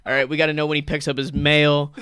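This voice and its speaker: A man's voice: fairly high pitch, flat delivery, fast, noisy background. A man who is a comedian guest on a radio show, riffing jokes in casual chat in a studio. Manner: jokey, riffing with playful bits.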